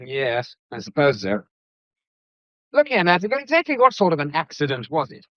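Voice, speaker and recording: An actor with a British accent playing a breezy, blunt, gallant painter in a period radio drama, full of jaunty, slangy, teasing banter. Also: male voice, fairly high pitch, wildly swooping pitch, moderate pace, a bit bright, dry recording. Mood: brusque, irritated. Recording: dull, muffled lo-fi audio.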